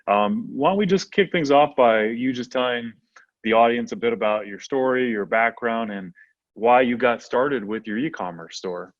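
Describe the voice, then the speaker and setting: An upbeat man hosting a business podcast, speaking at a middling pitch with enthusiasm. His voice comes through a slightly dull, lo-fi recording in a dry, close space. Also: normal speed, dry recording. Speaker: podcast host and e-commerce coach.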